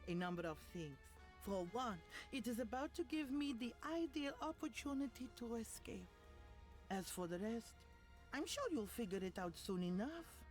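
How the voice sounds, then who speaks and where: Actress doing a brainy unflappable scientist in a video game, knowing, lots of lilt, bit of music under. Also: female voice, fairly high pitch, animated, normal speed, natural voice. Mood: smug, knowing. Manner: composed, knowing. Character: cool, clever, self-possessed female scientist outwitting a villain.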